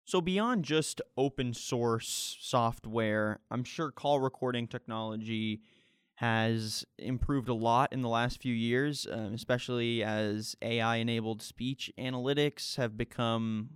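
A man asks interview questions as the host in a conversational style. His voice is crisp and of medium pitch, recorded with a dry, close sound. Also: unhurried, no echo.

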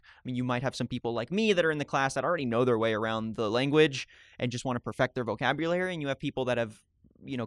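Smooth-voiced male podcast host. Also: medium pitch, dry recording, studio quality. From raised speaking Spanish at home, mother from Colombia. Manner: friendly, chatty, lots of fillers. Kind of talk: casual podcast interview.

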